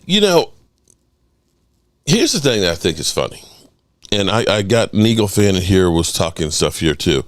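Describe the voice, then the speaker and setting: A middle-aged man hosting a sports call-in show, animated and joking, swearing freely. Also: sits low, normal speed, long pauses, no echo. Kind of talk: casual call-in show talk.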